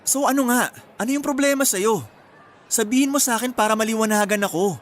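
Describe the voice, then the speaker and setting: An actor playing an insecure, pleading young boyfriend in an earnest, emotional radio drama. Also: male voice, sits high, brisk, lightly acted. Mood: hurt, pressing.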